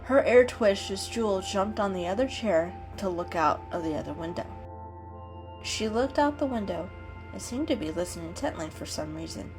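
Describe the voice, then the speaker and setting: A woman's voice: medium pitch, animated, normal speed. A woman giving a scripted, dramatic narration of an animal story as a storyteller. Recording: music behind the reading.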